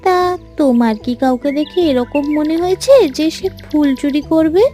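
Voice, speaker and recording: একজন মহিলা কার্টুন রূপকথার এক চালাক, খানিকটা কর্তৃত্বপরায়ণ পরীর চরিত্রে নাটকীয় ভঙ্গিতে কণ্ঠ দিচ্ছেন।. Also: female voice, sits high, normal speed, mellow, soft background music, lightly acted. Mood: feigned concern, stern. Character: clever female fairy, helpful schemer, teasing and bossy. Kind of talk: animated fairy tale voice acting.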